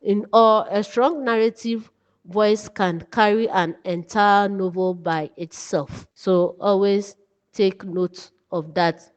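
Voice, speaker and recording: A woman giving an instructive lesson, speaking deliberately in short phrases. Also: medium pitch, steady tone, lo-fi sound. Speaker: writing teacher. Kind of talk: lesson narration with read-out examples. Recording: long reverberant echo.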